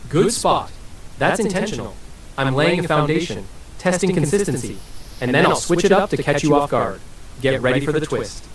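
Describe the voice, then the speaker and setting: A synthetic male voice answering as an AI interview guest, smooth and evasive with scripted-sounding replies. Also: on the high side, expressive, moderate pace. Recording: roomy and distant with faint hiss.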